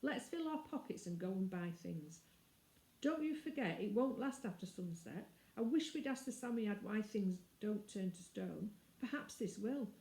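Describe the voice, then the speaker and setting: A woman's voice: medium pitch, expressive, dark tone, natural voice. A woman voicing an eager, bossy schoolboy in a storybook reading. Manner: eager, brisk, impatient. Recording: roomy indoor echo.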